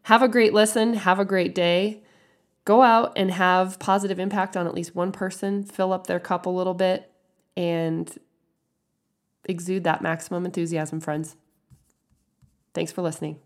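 A woman hosting a podcast, earnest and encouraging, her voice husky from a head cold. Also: fairly low pitch, level tone, normal speed, long pauses. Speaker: podcast host. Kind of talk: podcast intro and interview.

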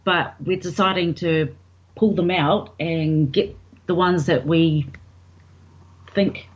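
Husky New Zealand woman, chatty. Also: fairly low pitch, fairly even intonation, takes it slowly. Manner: chatty, fills with um, quotes others. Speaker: singer, interview guest. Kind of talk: casual interview answers. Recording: dull lo-fi audio.

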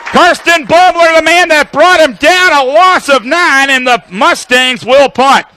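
A man with a high, bright voice works as a radio football announcer. He delivers live play-by-play in short, clipped phrases that lay out formations and action. His tone is forceful and projected, built for sports broadcasting. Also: a little slow, some chatter behind, a little hiss or hum. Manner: clipped descriptive phrases, excited calls on big plays.

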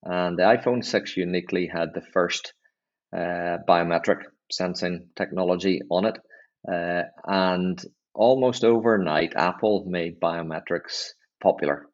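A middle-aged man with a Northern Irish accent, explaining things in a measured, conversational way as an interview guest on slightly dull, lo-fi audio. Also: deep voice. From Northern Ireland. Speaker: chief executive, podcast guest.

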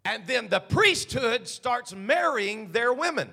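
High, bright-voiced preaching man. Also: sing-song, slow, gravelly, husky. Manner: preaching with shouted climaxes and hushed asides. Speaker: pastor. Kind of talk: sermon.

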